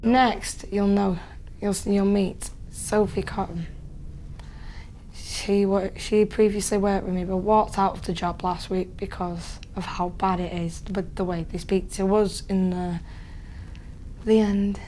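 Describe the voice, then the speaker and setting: A woman's voice: medium pitch, flat delivery, a little slow, mellow, a little hiss or hum. A young woman, talking bluntly and casually to camera in a home interview. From Lancashire, England. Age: young adult. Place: living room at home, indoors.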